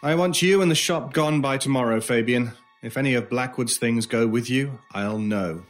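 A man acting in an audio horror drama as a stern stranger who hunts monsters, speaking in a measured, solemn, menacing way. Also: mid-range voice, lightly acted. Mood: cold, resolute. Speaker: voice actor. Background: music.

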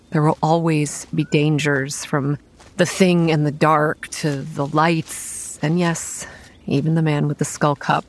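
An actress playing a frank, weary young woman who manages a haunted campground, narrating a horror audio drama in a confiding, matter-of-fact way. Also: deep voice. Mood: grave, confiding. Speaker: narrator and protagonist.

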